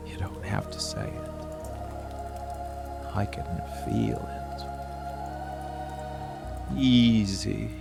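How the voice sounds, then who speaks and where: A man voicing a dark, seductive, protective monster lover in an ASMR roleplay, speaking in a breathy, gravelly murmur with deliberate pauses. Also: fairly low pitch, heavily acted. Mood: coaxing, soothing. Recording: rain and music behind close voice. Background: soft music and rainfall.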